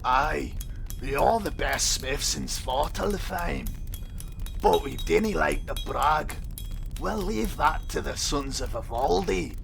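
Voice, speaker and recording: Very gravelly, boastful dwarf smith. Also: male voice, fairly high pitch, expressive, slow pace, a bit bright, soft background music, full character voice. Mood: hearty, boastful. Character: proud, boastful male dwarf master smith.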